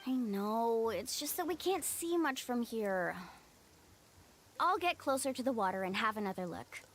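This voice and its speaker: A woman's voice: fairly high pitch, expressive, normal speed, slight background noise, close to an everyday voice. A woman playing a young, upbeat, caring adventure guide in an anime game, confident and friendly. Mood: thoughtful.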